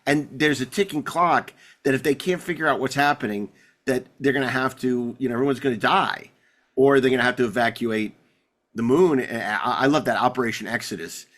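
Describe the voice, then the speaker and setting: A man talks as a podcast host in loose, casual conversation, at a middling pitch. He rambles with some excitement, often doubling back and repeating words as he builds his point. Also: a little fast. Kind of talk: casual chat about sci-fi television.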